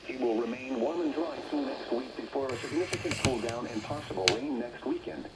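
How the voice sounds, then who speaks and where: Through a very noisy radio speaker, a male announcer reads a scripted weather forecast. His voice is creaky and moves in a lively range of pitch. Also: brisk.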